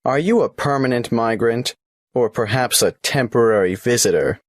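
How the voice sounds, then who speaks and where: A man's voice: medium pitch, normal speed, no echo, studio quality, lightly acted. A man playing a tax office clerk in a scripted exam dialogue, patiently asking questions and explaining procedures. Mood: businesslike.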